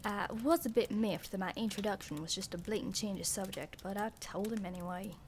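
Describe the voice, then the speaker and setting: A woman's voice: mid-range voice, brisk, darkish tone, close to an everyday voice. Woman playing a wry young journalist narrating a mystery. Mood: wry, brooding. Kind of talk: scripted first-person mystery narration. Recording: faintly noisy home recording.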